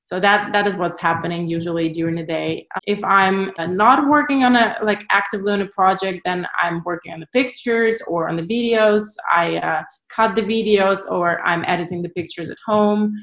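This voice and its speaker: A woman's voice: mid-range voice, moderate pace, few pauses, echoey room, lo-fi sound. A woman in her thirties from Ukraine, answering interview questions casually with plenty of fillers and restarts. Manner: relaxed, frequent fillers and restarts. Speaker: guest, travel photographer.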